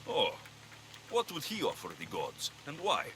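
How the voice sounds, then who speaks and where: A man's voice: medium pitch, wildly swooping pitch, unhurried, sharp tone, slight background noise, full character voice. An actor playing a cocky, sardonic male mercenary in a video game cutscene. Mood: wry. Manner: dry, sardonic, bargaining. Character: cocky, wry male mercenary in ancient Greece.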